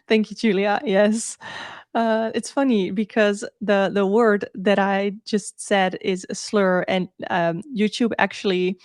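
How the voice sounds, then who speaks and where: A woman's voice: medium pitch, fairly even intonation, slow, darkish tone, no room sound. Dutch-accented woman streamer, dry close sound. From Netherlands. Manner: informal, thinks aloud, repeats herself. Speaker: tarot reader and live streamer. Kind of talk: casual live-stream chat.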